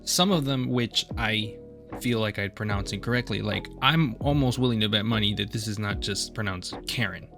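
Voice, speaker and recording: A man's voice: fairly low pitch, moderate pace, gravelly. A young man narrating a class project presentation in a casual way, with self-deprecating asides. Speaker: student presenter. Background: faint guitar music.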